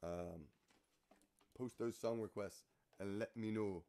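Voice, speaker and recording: A man in his forties chatting casually between songs on a home livestream, relaxed and rambling with fillers. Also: lowish voice, takes it slowly. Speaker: singer and host of a live music stream. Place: small living room, indoors.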